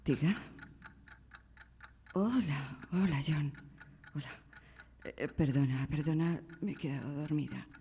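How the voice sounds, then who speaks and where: A woman's voice: deep voice, unhurried, long pauses, dark tone, in a large hall, a little hiss or hum. An actress playing a volatile mother of a young girl in a radio drama. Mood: drowsy, subdued.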